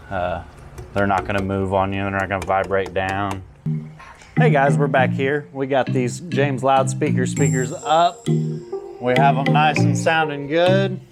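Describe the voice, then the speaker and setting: Low-voiced man giving a casual walkthrough. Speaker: audio-video installer presenting his work. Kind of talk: casual product walkthrough to camera. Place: outdoors at a client's house and patio.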